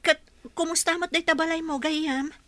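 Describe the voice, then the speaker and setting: A woman's voice: very high voice, fairly even intonation, moderate pace, bright, forceful tone, no room sound. An actress with a Filipino accent playing a modest, polite woman working overseas in an Ilocano radio drama. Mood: concerned. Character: modest, respectful Filipina working abroad for a widowed boss.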